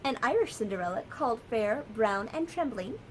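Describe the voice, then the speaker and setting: Lively American woman reading to children. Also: a little high, moderate pace, slight background noise. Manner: lively, sing-song storytelling. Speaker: children's librarian.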